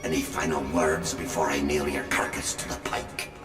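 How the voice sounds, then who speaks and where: An actor playing a menacing, gloating executioner in a video game, pompous and threatening. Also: male voice, extremely low pitch, little pitch movement, moderate pace. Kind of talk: theatrical video game villain acting. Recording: cavernous long reverb with music.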